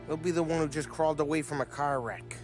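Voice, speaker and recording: A man's voice: on the high side, steady tone, lightly acted. An actor playing a brash, sarcastic mob gunman in a video game cutscene. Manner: sarcastic, fast-talking. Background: music score.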